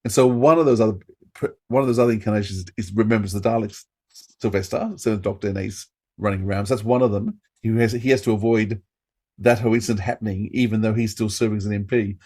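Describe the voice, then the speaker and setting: Male podcast host, lower-pitched voice, casual fan discussion and interview style. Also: steady tone, quick pace, darkish tone.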